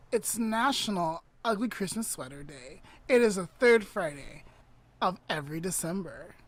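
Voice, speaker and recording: A woman co-hosting a podcast, chatting casually and introducing a story in a playful, teasing way. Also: fairly low pitch. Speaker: podcast co-host. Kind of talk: casual chat.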